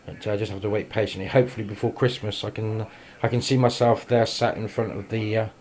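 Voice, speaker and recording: A man's voice: on the low side, little pitch movement, fast talker, a little mellow. An English man chatting informally as an amateur radio operator filming a casual vlog. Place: small indoor radio shack at home. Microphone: handheld camera. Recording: faint noise, home radio shack camera.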